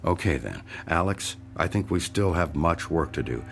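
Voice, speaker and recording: An actor playing a self-important, defensive hypnotherapist, measured and formal, in a radio drama. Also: male voice, low voice, lively intonation, vocal fry, a bit bright, full character voice. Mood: smooth, patronizing.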